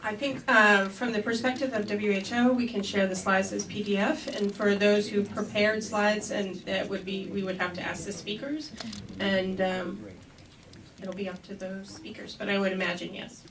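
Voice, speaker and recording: A woman on the organizing side answers a question at a conference meeting, soft-spoken and tentative. Her voice stays flat, with little rise or fall. She speaks from well away from the microphone. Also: medium pitch, normal speed. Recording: far from the microphone.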